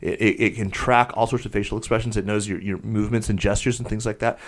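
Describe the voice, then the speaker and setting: Low-voiced man, wordy podcast host. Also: flat delivery, fast talker, noisy background. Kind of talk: casual panel chat.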